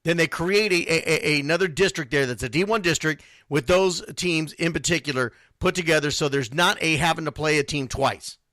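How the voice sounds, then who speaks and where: A man's voice: on the high side, fairly quick, hardly any pauses, bright, forceful tone, no echo. A man hosting an unscripted sports talk show, answering listeners in a blunt, emphatic way and repeating phrases for stress. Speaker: sports radio show host.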